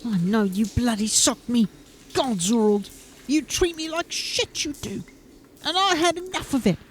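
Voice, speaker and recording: Woman playing a high-pitched, nervous underling. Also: a little slow, slight background noise, lightly acted. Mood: indignant. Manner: anxious, plaintive, grumbling. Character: nervous, superstitious, whining male underling called Gunny. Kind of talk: fantasy audio drama acting.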